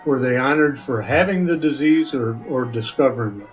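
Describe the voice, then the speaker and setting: A man answering questions as a podcast guest in casual chat, in a hesitant, halting way. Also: medium pitch, soft background music, rough recording.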